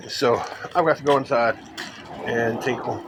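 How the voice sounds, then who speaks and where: A man with a raspy voice, chatting casually with viewers on a live stream, first from a parked car and then while walking outside. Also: medium pitch, unhurried, noisy background. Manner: rambling and folksy, talking to viewers.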